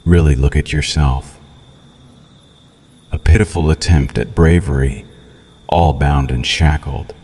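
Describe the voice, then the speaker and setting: Guy doing a sadistic vampire villain for asmr roleplay, super deep voice, slow mocking menace. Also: steady tone, darkish tone. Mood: mocking, gloating. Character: cruel, sadistic male vampire captor, gloating and possessive.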